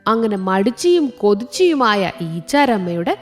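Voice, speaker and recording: A woman's voice: mid-range voice, a little slow, faint music behind. A woman narrating a scripted children's story expressively, as a storyteller. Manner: expressive, with sound words.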